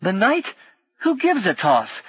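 A man's voice: high-pitched, huge pitch swings, takes it slowly, slightly rough, dry recording, dull audio, voice pushed up, full character voice. A man voicing a cheeky, brash, streetwise young boy in an audiobook, slangy, urgent and dismissive. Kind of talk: audiobook character acting.

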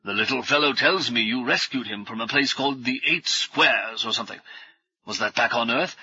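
A man voicing a shrewd, genial older professor with a light, understated gentlemanly manner in an audiobook. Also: medium pitch, normal speed, breathy edge, dry recording, dull audio. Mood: probing.

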